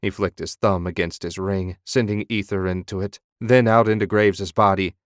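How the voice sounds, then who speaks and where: Man, audiobook narrator, fantasy story, low-pitched, dry studio sound. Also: fairly even intonation, normal speed, dry recording.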